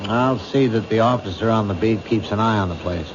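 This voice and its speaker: A man's voice: fairly low pitch, brisk, lightly acted. A man acting as a skeptical, wisecracking police captain in an old radio crime drama, slangy and dismissive. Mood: wry, dismissive. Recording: muffled, noisy old radio recording.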